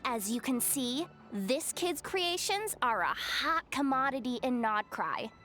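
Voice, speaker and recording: A sharp-tongued young woman with a high, clear voice who talks in a dry, commanding, sarcastic way. Game music plays faintly behind her. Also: expressive, measured pace, full character voice. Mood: sardonic.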